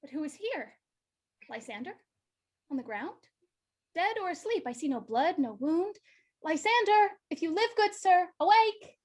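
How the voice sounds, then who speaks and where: A woman's voice: very high voice, lively intonation, a little slow, pauses often, darkish tone. A woman playing a scorned young woman, speaking reproachful verse in a stage play. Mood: worried. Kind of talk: Shakespearean stage acting.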